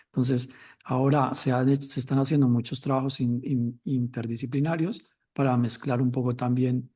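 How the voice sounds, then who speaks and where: A man's voice: mid-range voice, fairly even intonation, moderate pace, dark tone, breathy edge. A man speaking in an academic discussion and moderating it, with frequent restarts and hesitations. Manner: hesitant, many restarts and fillers.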